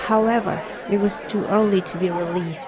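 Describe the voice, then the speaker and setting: A woman's voice: medium pitch, steady tone, brisk, a crowd in the background, close to an everyday voice. A woman playing an introspective young reader, narrating his story in scripted first-person audio drama style. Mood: measured.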